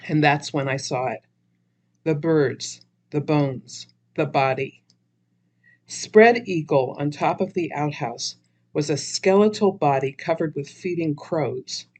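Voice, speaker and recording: A woman's voice: sits low, slow pace, darkish tone. A woman author narrating her own humorous story dramatically, with theatrical expression.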